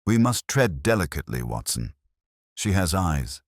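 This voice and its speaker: Guy doing a shrewd victorian detective from a storybook, very low voice, dry and deliberate, lots of pitch movement. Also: very animated, no room sound. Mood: confident, wary. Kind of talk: storybook character reading.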